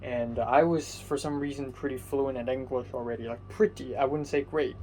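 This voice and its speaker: A man's voice: medium pitch, fairly even intonation, moderate pace, a little mellow, faint music behind, poor audio. A young man from Hong Kong whose first language is Cantonese, casually telling a rambling story with many fillers, restarts and casual swearing. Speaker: vlogger.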